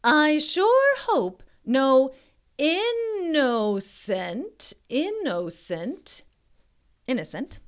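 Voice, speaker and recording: Woman playing a high, scheming mama dinosaur. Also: big pitch swings, extremely slow, exaggerated voice. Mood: mock innocent. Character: scheming mother dinosaur, falsely innocent and theatrical.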